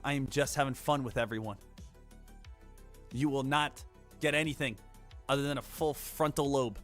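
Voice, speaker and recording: A man's voice: medium pitch, steady tone, moderate pace, fairly bright tone, faint music behind. A man hosting a live day trading stream, chatting casually with viewers in a brash, joking, crude way. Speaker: day trading livestream host.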